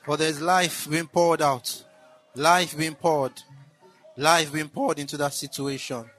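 Preacher with a raised voice. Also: male voice, on the high side, steady tone, takes it slowly, faint music behind. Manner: preaching that swells into shouted exclamations. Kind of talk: sermon. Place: church.

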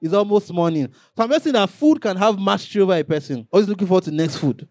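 A man's voice: sits high, animated, quick pace, darkish tone, dry recording, studio quality. A man, a pastor preaching a sermon, rising into emphatic bursts and acting out little quotes.

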